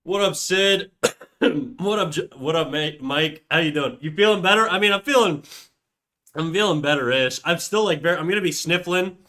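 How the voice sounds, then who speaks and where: A man's voice: on the high side, normal speed, pushed and bright. A young man with a cold, sounding stuffy and nasal, chatting casually and swearing on a livestream. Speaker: streamer. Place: home room.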